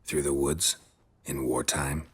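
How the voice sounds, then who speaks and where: A middle-aged fantasy game monster hunter with an extremely deep voice. It is heavily rasped and husky, delivered in terse, deadpan phrases. He comes across as stoic, gruff and dryly witty. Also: slow pace, no echo, heavily acted. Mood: dry, probing. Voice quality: gravelly, husky low voice.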